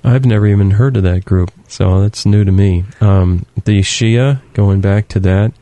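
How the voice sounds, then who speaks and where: A man's voice: on the low side, steady tone, a little slow, mellow, no room sound. A man hosting a radio talk show from a studio, introducing his guest and asking short questions.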